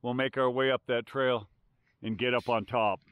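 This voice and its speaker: An older man narrating a hike outdoors on a windy volcanic ridge, calmly explaining the landmarks around him. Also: mid-range voice, smooth, no room sound, dull audio. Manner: unhurried, explanatory, pointing out landmarks. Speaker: hiking vlogger. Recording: faint wind noise.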